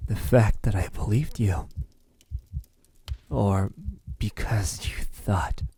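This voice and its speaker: A man playing a brooding vampire in an ASMR roleplay, speaking softly and intimately with a breathy, halting delivery. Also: lowish voice, a little hiss or hum. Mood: menacing, amused. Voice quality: soft, breathy, husky. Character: lonely, lovesick male vampire, menacing toward humans.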